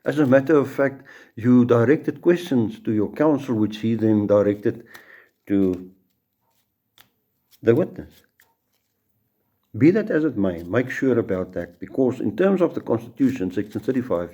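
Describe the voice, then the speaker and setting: Low, mellow South African Afrikaans man. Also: expressive, normal speed. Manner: storytelling, repeats words and restarts. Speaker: judge teaching law. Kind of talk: informal lecture with anecdotes.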